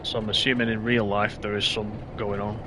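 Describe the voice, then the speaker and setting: A man casually commenting on his train game while streaming, reading out and answering chat comments, his voice a bit hoarse. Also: fairly low pitch, little pitch movement, a little fast, background noise. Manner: chatty, reads out chat comments. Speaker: live streamer.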